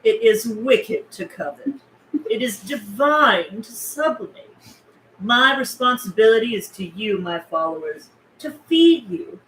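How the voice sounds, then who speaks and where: A woman's voice: on the high side, a bit bright. Woman acting out an imperious cult mother, echoey room. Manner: solemn, grandiose preaching. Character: imperious cult mother preaching as sea oracle. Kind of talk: table read of a film script. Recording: roomy, echoey with room noise.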